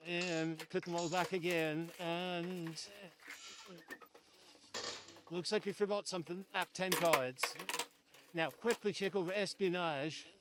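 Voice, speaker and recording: A man's voice: high voice, heavy background noise. A man narrating a casual behind-the-scenes walkthrough as an event host, sounding hesitant and unsure. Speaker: escape room event host.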